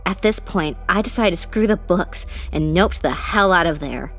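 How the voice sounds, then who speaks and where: A woman's voice: lowish voice, expressive, moderate pace, fairly bright tone. A woman reading a first-person scary story as a scripted narration. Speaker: narrator reading listener-submitted ghost stories. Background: music.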